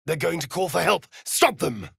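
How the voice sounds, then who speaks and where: An actor playing a sardonic, flirtatious vampire elf rogue in a video game, with an arch, droll, theatrical delivery. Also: male voice, fairly high pitch, expressive, moderate pace, creaky, fairly bright tone, breathy edge, no room sound, clean studio sound, heavily acted. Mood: urgent, alarmed. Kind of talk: video game character voice lines.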